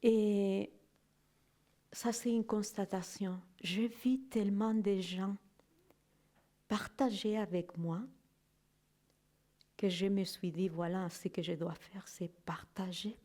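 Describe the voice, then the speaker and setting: A woman giving a personal testimony in halting, non-native French, often searching for words and checking in with "non?". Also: medium pitch, steady tone, warm tone. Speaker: guest speaker giving a testimony. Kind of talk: slow reflective personal testimony.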